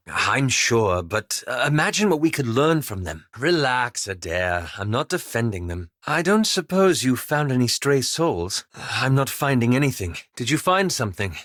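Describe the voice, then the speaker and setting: Young scholarly male elf, game companion, medium pitch, measured formal phrasing, self-doubting, understated wit, very dry studio sound. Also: a bit bright, dry recording. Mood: wry, curious. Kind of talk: video game companion dialogue.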